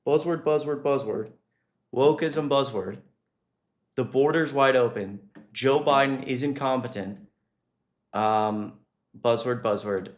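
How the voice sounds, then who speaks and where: A male streamer delivers casual political commentary in an exasperated manner. He speaks off the cuff, often halting and reworking his point, and swears as he goes. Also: medium pitch.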